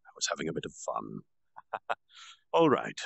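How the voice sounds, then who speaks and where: A man reads a novel aloud for his stream in an earnest voice with quick apologetic asides. His tone has a gravelly grain and moves lively up and down. The sound is close and dry. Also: rumbling low, no echo. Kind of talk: casual storytime reading.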